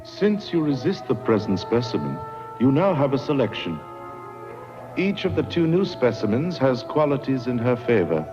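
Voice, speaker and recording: A cold, controlling captor, played by a man, speaks formally and with clinical menace in a drama. The mid-pitched voice moves widely in tone, muffled under loud music. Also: big pitch swings, moderate pace, close to an everyday voice. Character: cold, controlling captor dictating terms to a prisoner.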